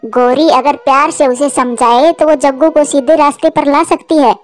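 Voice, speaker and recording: A woman plays an ageing cartoon mother bird with a high voice. She is soft-hearted and worried, and pleads earnestly with those around her. Music plays underneath. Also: fairly even intonation, normal speed, close to an everyday voice. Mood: gentle concern. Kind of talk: Hindi cartoon voice acting.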